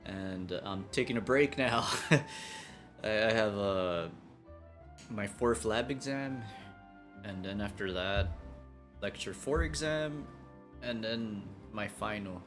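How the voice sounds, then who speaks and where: A young man chatting casually on a live game stream in a halting, rambling way, as a streamer talking to viewers. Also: lowish voice, a bit bright. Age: young adult. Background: game music behind the voice.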